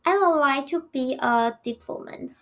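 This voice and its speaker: High-voiced young Taiwanese woman. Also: normal speed. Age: young adult. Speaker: student podcast co-host. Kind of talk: reading prepared notes.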